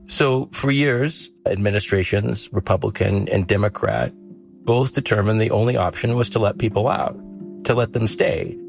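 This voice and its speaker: A man reporting a story on a news podcast, explaining it in a measured, storytelling way. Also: on the low side, vocal fry, faint music behind.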